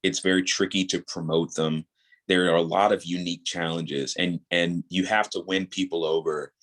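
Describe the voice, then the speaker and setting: A man's voice: low-pitched, steady tone, normal speed, fairly bright tone. A man in his thirties speaking as a guest in a casual interview, explaining his creative craft and repeating points for emphasis. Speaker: audio drama creator, podcast guest.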